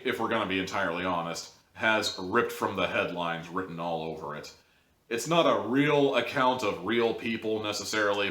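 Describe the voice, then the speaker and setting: Bright, emphatic man reviewing in a roomy space. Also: low voice. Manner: emphatic, conversational, wry asides. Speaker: audio drama reviewer, show host. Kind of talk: casual, opinionated video review. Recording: roomy, distant microphone.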